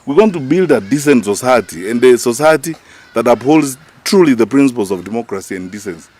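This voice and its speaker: A man's voice: medium pitch, animated, brisk, a little mellow, husky. Kenyan man, indignant political speaker. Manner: emphatic, indignant, rhetorical questioning. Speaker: political party official speaking to the press. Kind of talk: press conference statement, spoken off the cuff.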